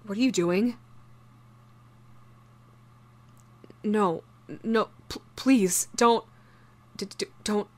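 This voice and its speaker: A woman voicing an obsessive shapeshifting doppelganger posing as someone's ex, speaking haltingly in an intimate horror audio roleplay. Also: on the low side, lightly acted. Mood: flustered, pleading. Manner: halting, stammering, soft-spoken in menace.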